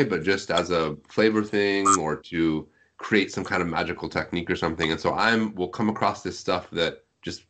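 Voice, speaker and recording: A man's voice: low-pitched, fairly bright tone. A man, around his thirties, chatting casually on a podcast, explaining things in a self-deprecating way. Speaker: guest, literary translator. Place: at home on an internet call.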